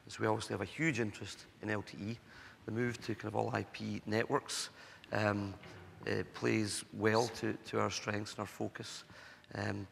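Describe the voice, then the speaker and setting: A man with a cold and a hoarse voice, introducing himself on a conference panel in a measured way with many fillers. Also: fairly low pitch, level tone, a bit bright, a little hiss or hum. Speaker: panelist, semiconductor executive. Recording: echoey conference hall.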